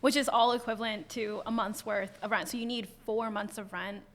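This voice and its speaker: A woman's voice: medium pitch, fairly even intonation, brisk, fairly bright tone. Chatty young woman from Maine. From Portland, Maine. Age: young adult. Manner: chatty, with "like" and "um" fillers. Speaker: optometry student, podcast guest. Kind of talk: casual podcast chat.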